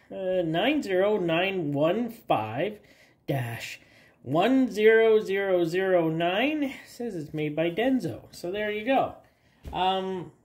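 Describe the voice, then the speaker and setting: A woman's voice: mid-range voice, a little mellow. A woman with a cold hosting a garage channel, chatting casually and rambling with fillers while cutting apart parts on an outdoor table. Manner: rambling, self-deprecating, halting with fillers. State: has a cold. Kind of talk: casual hands-on DIY narration.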